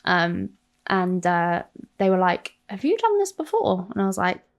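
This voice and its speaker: A woman in her thirties with an English accent, a guest on a podcast who tells stories about her life in an animated, swearing, self-mocking way. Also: fairly low pitch, unhurried. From South London. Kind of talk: candid interview chat.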